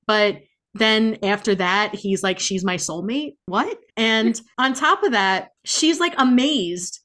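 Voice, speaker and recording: A woman's voice: medium pitch, slow, fairly bright tone. Blunt, sarcastic woman chatting about books. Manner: sarcastic, blunt, frequent swearing. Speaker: podcast co-host. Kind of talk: casual chat, book review.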